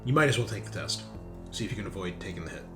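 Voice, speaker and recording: A man's voice: sits low, expressive, racing. A man acting as game master in a tabletop roleplaying game, narrating action and explaining the rules. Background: background music.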